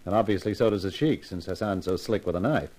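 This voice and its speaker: A man's voice: sits low, quick pace, darkish tone. A man playing a breezy, irreverent, hard-drinking detective, acting and narrating in a colloquial way in a 1950s radio mystery. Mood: wry banter. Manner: wry, colloquial, hesitant asides.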